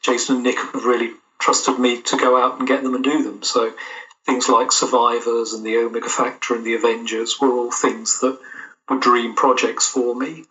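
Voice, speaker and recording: Man, mature, bright forceful tone, thoughtful interviewee, slightly lo-fi sound. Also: medium pitch, flat delivery, moderate pace. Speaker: audio drama producer, interview guest. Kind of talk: casual interview answers. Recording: dull, lo-fi call-like sound.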